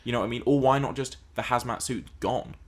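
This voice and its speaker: A man's voice: medium pitch, fairly even intonation, quick pace. A man co-hosting a casual film and TV discussion podcast, talking in an explanatory, emphatic way. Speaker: podcast co-host.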